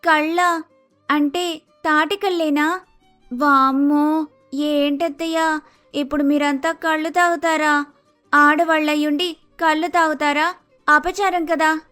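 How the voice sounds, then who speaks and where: A woman's voice: high voice, steady tone, takes it slowly, pauses often, bright, forceful tone, faint music behind, full character voice. A woman voicing a polite, naive young daughter-in-law with an Andhra Telugu accent, exclaiming in a cartoon story. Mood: shocked. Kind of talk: acted dialogue in animated moral story.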